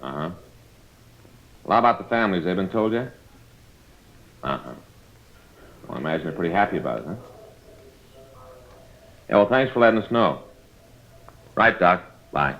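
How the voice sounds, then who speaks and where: An American actor playing a plain-spoken, casual police detective sidekick in a 1950s radio drama style. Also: male voice, deep voice, lively intonation, moderate pace, long pauses. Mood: relieved, businesslike. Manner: casual, laconic. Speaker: supporting actor. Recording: dull vintage radio recording.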